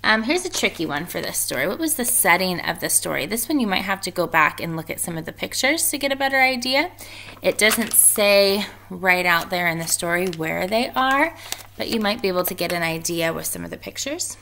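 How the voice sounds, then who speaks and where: A woman's voice: on the low side, lively intonation, brisk, pushed and bright, a little hiss or hum. A woman reading aloud to children and explaining informally, like a teacher.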